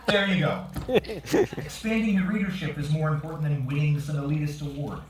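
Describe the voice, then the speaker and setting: A man's voice: fairly high pitch, moderate pace, lightly acted. A man playing an earnest, defensive fiction writer in a staged play reading, argumentative and theatrical. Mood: indignant. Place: indoor theatre stage, far from the camera. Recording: echoey theatre, distant camera, faint noise.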